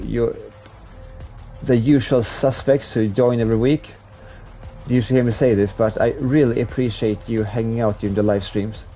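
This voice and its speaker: Swedish man chatting while gaming. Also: medium pitch. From Sweden. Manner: relaxed, rambling, with restarts while playing. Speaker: streamer. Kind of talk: casual chat while gaming live.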